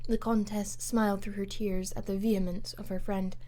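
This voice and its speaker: A woman's voice: medium pitch, flat delivery, normal speed, warm tone. A woman giving a scripted literary narration as an amateur audiobook reader.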